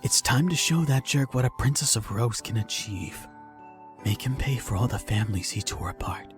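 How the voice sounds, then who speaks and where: Loyal encouraging comrade acted by a man, mid-pitched. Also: lively intonation, normal speed, music in the background, close to an everyday voice. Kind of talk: amateur audio drama acting.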